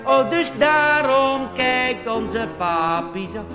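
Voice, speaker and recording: A man's voice: extremely high pitch, lively intonation, slow pace, reverberant, long reverb tail, music in the background, pitched above the performer's own voice, heavily acted. A man singing the part of a naive, curious child in a cabaret song. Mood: curious, puzzled. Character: naive, curious child questioning mother about papa.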